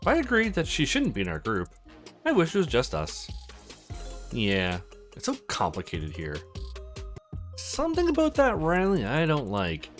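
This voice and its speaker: Male let's play host, low-pitched to squealing swings, very animated reading of game dialogue with casual asides, music underneath. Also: moderate pace, long pauses. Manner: acts out characters' lines with exclamations. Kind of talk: reading visual novel dialogue aloud with casual commentary.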